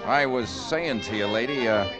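An actor playing a pushy, slow-witted sailor in an old radio drama, halting and drawling. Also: male voice, mid-range voice, close to an everyday voice. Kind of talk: 1940s-50s radio drama acting. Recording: old radio recording, loud music behind.

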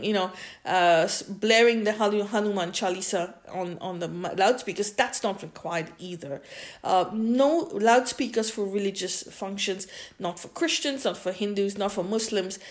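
A woman giving an informal opinion monologue as a podcast host, emphatic and repeating phrases for stress. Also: medium pitch. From India.

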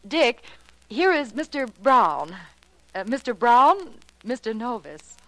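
Worried young rich girl, high voice, polite and pleading, old time 1940s radio drama. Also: very animated, takes it slowly, fairly bright tone, dry recording, heavily acted, poor audio. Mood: nervous, coaxing. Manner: polite, pleading, slightly hesitant. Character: anxious, earnest, trusting young heiress. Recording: vintage 1940s radio recording.

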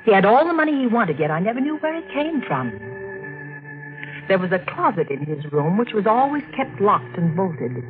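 A woman's voice: mid-range voice, big pitch swings, moderate pace, close to an everyday voice. A woman playing an anxious but determined wife in a 1940s radio suspense drama, with dramatic intensity. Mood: tense, haunted. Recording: dull vintage radio recording with music.

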